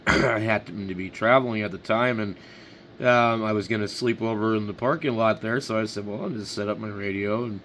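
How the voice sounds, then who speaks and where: A man's voice: lowish voice, fairly bright tone, a little hiss or hum. A middle-aged man from Washington State chatting casually as a ham radio operator. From Washington State, United States.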